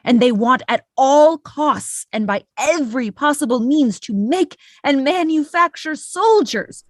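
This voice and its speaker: A woman's voice: high voice, expressive, unhurried, dry recording, clean studio sound. A woman performing a grave, sardonic narrator in a theatrical recitation for an avant-garde radio play. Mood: sardonic declaiming. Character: grave, sardonic narrator denouncing American war-making.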